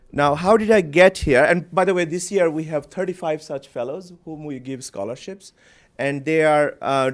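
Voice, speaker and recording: Man from Bangladesh giving a halting panel talk. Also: fairly high pitch. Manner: unscripted, halting with fillers, joking. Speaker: panelist, center director. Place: lecture hall.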